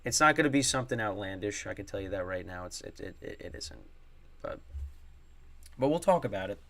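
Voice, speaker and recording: A man's voice: on the low side, animated, a little fast, gravelly, fairly bright tone. A young man hosting a sports podcast solo, rambling with many fillers and restarts, his voice strained from screaming at a game. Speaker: podcast host.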